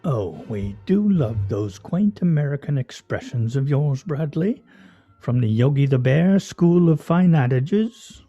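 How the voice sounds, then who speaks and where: Actor playing a pompous, droll man nearing fifty, dark-voiced. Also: on the high side, expressive, unhurried, slightly rough, muffled recording, faint music behind, full character voice. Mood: dryly sardonic. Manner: pompous, droll, ornate phrasing. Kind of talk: radio play acting.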